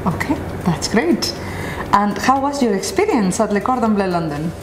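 A woman's voice: medium pitch, big pitch swings, moderate pace, noisy background. A young woman hosting an interview, politely reading her questions from a card. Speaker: interviewer, host. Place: café-style room with sofa, indoors. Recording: roomy echo with music underneath.

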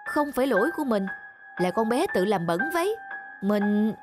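A woman's voice: medium pitch, animated, normal speed, lightly acted. A woman voicing an anxious, ambitious mother who talks in a fretful, self-justifying way. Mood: flustered. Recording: music playing underneath.

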